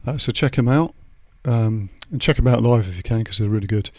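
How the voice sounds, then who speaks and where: A man's voice: medium pitch, brisk, a little mellow. A British man hosting a casual interview podcast in an encouraging, affirming way. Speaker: interview host.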